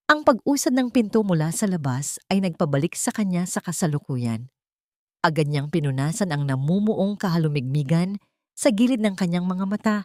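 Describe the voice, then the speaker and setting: A woman's voice: lowish voice, warm tone, no echo. A woman storyteller reading a scripted dramatic narration in a solemn, emotive, literary manner.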